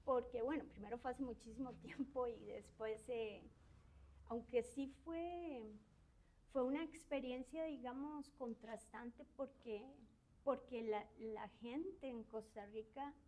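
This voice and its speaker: A middle-aged woman from Argentina and Uruguay, a university academic reflecting in an interview, shy and soft-spoken with frequent tag questions and hesitations. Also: fairly high pitch, slow, rough recording.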